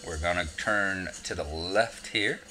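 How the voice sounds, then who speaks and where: A man's voice: sits low, a little slow, pushed and bright, soft background music. A man with a Southern US accent giving casual, rambling gameplay commentary with self-mocking asides. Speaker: game walkthrough narrator.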